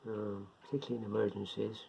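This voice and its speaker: A man giving an informal, unscripted beginners' explainer in a rambling, step-by-step way, repeating himself, with a gravelly, husky voice. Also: lowish voice, level tone, fast, dark tone, a little hiss or hum. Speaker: hobbyist presenter of a beginners' guide. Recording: dull lo-fi home recording.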